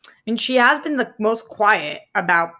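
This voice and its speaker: Chatty, halting young woman co-host. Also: mid-range voice, animated, bright, forceful tone. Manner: chatty, halting, many fillers and restarts. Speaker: podcast co-host. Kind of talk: casual pop-culture chat.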